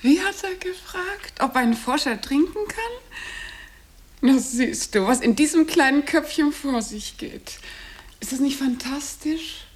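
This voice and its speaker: A woman's voice: high voice, animated, a little slow. An actress playing a smug, meddling wife and mother in a radio drama, gushing and judgmental as she boasts of a perfect marriage. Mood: delighted. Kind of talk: stage-like radio drama dialogue.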